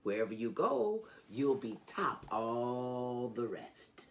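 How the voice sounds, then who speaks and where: A woman with a strikingly low voice reads a storybook aloud for children. She takes her time, going slowly through the lines. Her delivery is animated and sing-song, with lively rises and falls in pitch as she hosts the read-along.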